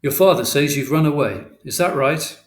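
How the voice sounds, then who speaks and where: A man voicing a calm, matter-of-fact policeman in an audiobook, brisk, official and reasonable. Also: fairly high pitch, roomy sound, voice pushed up, lightly acted. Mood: questioning.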